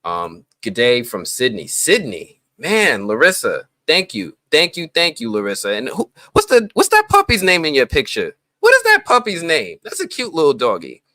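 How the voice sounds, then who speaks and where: A man hosting a live stream, chatting casually and greeting viewers in slang-heavy talk, his voice jumping up with excitement. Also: fairly high pitch, moderate pace, few pauses, no echo, studio quality. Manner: excitable, voice jumps up when greeting people; relaxed slang-heavy talk with many fillers.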